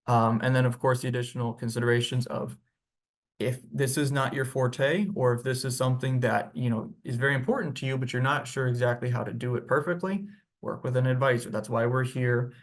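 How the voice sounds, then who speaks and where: A man's voice: mid-range voice, fairly quick. A man, a financial advisor, giving a webinar presentation in a conversational, explanatory way.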